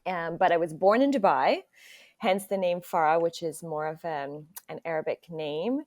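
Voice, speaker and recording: A woman's voice: lowish voice, normal speed, a little mellow. A young woman from Nigeria, a podcast guest, chatting openly and easily about herself. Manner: open, chatty, laughs easily. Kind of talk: casual interview chat.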